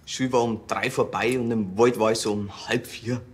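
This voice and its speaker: A young actor playing a sullen, defensive schoolboy from the countryside, in a radio drama. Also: male voice, on the low side, fairly even intonation, moderate pace, natural voice. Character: sullen, defensive rural schoolboy suspected of shooting.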